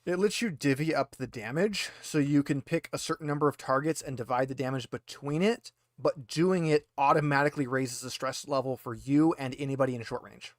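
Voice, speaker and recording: A man's voice: medium pitch, brisk, few pauses, no echo. A man runs a tabletop roleplaying game as game master. He talks casually and explains the rules as he goes. The sound is dry, with no room around the voice.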